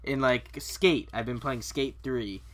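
A man chatting casually about video games as a podcast co-host, colloquial, opinionated and quick to swear. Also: medium pitch.

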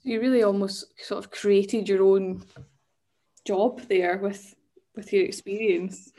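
A woman with a voice of ordinary pitch leads an interview over a video call. She asks her questions politely and keeps them short. She prompts her guest gently between his answers. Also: steady tone, slow. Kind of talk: oral history interview.

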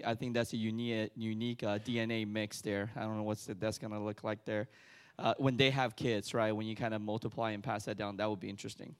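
Taiwan-born man, halting conversational sermon. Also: mid-range voice. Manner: conversational, halting with frequent restarts and fillers. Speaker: church elder. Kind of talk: sermon testimony.

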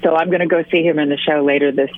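A Broadway actress in her fifties, a guest speaking effusively and telling animated stories over the phone. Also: low-pitched, very fast, no echo. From California and Sweden. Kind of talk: phone interview. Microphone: telephone. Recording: tinny phone line.

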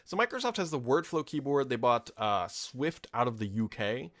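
A man's voice: mid-range voice, big pitch swings, moderate pace, fairly bright tone. A man in his thirties hosting a tech podcast from his home office, chatting casually and with strong opinions, full of ums and asides. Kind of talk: casual solo podcast chat.

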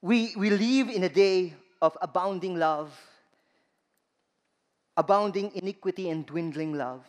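A man with a Filipino accent preaching a sermon to a church congregation, slow and emphatic, stressing key words. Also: high voice. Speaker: guest preacher. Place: large church hall. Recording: long reverb of a church hall.

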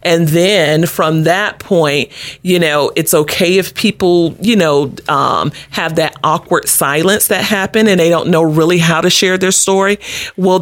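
A woman's voice: fairly low pitch, no room sound, clean studio sound. A middle-aged woman answering interview questions as an advocate, explaining things earnestly in a conversational way. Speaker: guest, domestic abuse advocate.

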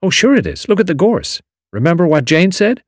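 A man voicing a confident, excitable young American adventurer with a slangy drawl, in an audiobook story. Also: high voice, fairly quick, dry recording, studio quality, pitched above the performer's own voice. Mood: breezy, confident.

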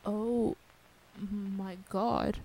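A woman's voice: mid-range voice, fairly even intonation, drawn-out words, creaky, mellow, full character voice, low-quality recording. An actress playing a grave, intent female interviewer in a radio play, speaking in a restrained and serious way. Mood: stunned.

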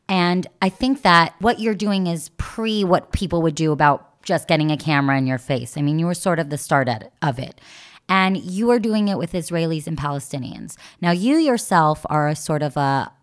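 A woman hosting a podcast, her voice sitting low for a woman. She keeps the talk loose and teasing, tossing in quick jokes between questions. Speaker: podcast host. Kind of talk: playful interview chat.

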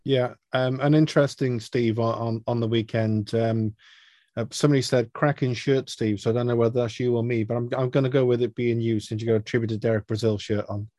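A man hosting a phone-in show, asking rambling, halting questions. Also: medium pitch, steady tone, a little mellow, dry recording, clean studio sound. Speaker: host. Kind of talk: casual chat.

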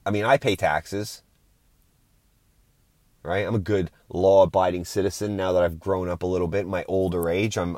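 A man with a fairly low voice hosts a podcast solo. He talks in an informal, opinionated rant, swearing casually as he airs his grievances. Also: a little mellow, dry recording. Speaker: podcast host.

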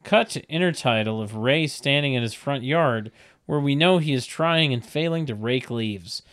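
A man's voice: medium pitch, moderate pace, a bit bright. A man reading stage directions at a comedic table read, with wry, mocking asides.

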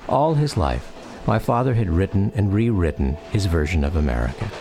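Deep-voiced, expressive male narrator. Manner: reflective, measured storytelling. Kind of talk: scripted autobiographical radio drama narration. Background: music.

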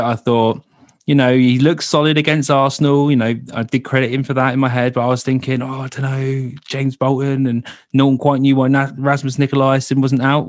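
A man of middle pitch hosts a football podcast in a chatty, easygoing way. He often breaks off and restarts mid-sentence, and his voice is dry and close to the mic. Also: no echo. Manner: chatty, self-interrupting. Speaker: podcast host. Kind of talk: casual football chat.

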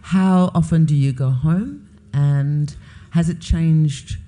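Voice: medium pitch, slow, mellow, soft background music. An interviewer hosting an onstage author talk, asking curious, chatty questions with many fillers.

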